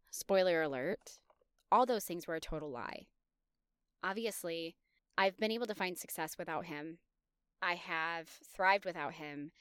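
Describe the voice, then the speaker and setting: A woman's voice: on the low side, a bit bright, smooth, dry recording, studio quality. A woman in her thirties hosting a podcast, telling her own story in a candid, confessional, self-reflective way. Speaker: podcast host. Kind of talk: personal storytelling monologue.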